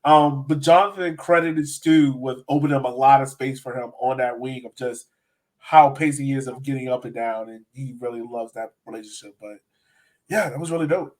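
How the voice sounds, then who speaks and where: A man's voice: medium pitch, fairly even intonation, a little fast. A man hosting a casual sports podcast, informal and slangy, speaking directly to his co-hosts and viewers. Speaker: podcast host.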